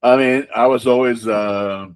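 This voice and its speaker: A man being interviewed as a game designer, talking casually and haltingly, often repeating words as he explains. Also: mid-range voice, fairly even intonation, smooth.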